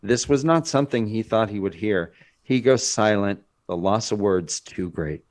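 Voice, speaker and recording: A man's voice: on the low side, lively intonation, normal speed, a little mellow. A man narrating stage directions as scripted narration in a screenplay table read.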